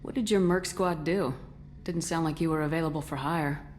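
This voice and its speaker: An actress playing a tough, loyal military commander in a video game, speaking firmly and directly. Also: female voice, low-pitched, moderate pace, slight background noise, natural voice. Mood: firm, probing. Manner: firm, direct. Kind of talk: video game dramatic acting.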